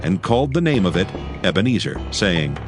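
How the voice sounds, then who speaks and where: A man narrating scripture from a script in a solemn, measured, formal manner. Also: sits low, very animated. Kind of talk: scripted dramatized Bible narration. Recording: faint music behind the voice. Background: faint background music.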